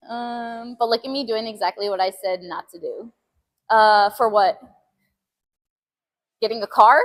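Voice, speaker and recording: High-voiced, giggly woman livestreamer. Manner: giggly, chatty, animated. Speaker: fitness livestream host. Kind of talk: casual chat with live viewers. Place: gym, during a workout.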